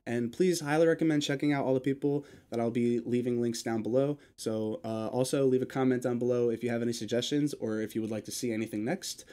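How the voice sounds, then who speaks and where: A young man with a congested voice and a sore throat, casually talking through a digital card game as he plays and thinks out loud. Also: mid-range voice, fast talker, hardly any pauses. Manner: relaxed, thinking aloud while playing. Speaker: gaming video creator.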